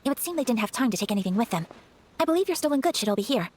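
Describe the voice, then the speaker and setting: An actress playing a gracious young noblewoman swordfighter in a video game, formal and precise, gentle but firm. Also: a little high, sing-song, very fast, slight background noise. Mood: modest. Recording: music behind the voice.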